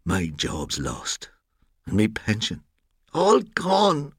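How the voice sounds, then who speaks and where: A man's voice: lowish voice, wildly swooping pitch, takes it slowly, husky, dry recording, studio quality, full character voice. Man voicing a frantic muttering postman. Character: frantic, shivering male postman fearing ruin.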